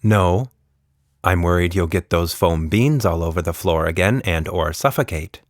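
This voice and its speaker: A man reads a busy, distracted mother in a children's storybook. The voice is low and unworried, patient but firm, gently brushing things off. The sound is dry and close. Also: normal speed, no echo, natural voice. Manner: flat, unworried, gently dismissive.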